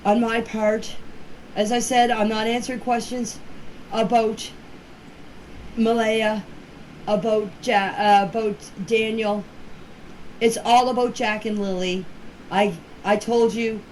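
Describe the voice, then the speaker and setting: Woman in her 50s, highish voice, really slow n earnest, plain talk into a phone, bit of noise behind. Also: poor audio. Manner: plainspoken, earnest, emphatic, repeats herself for stress. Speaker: community member speaking about a case. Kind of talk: informal personal voice messages. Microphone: phone voice message.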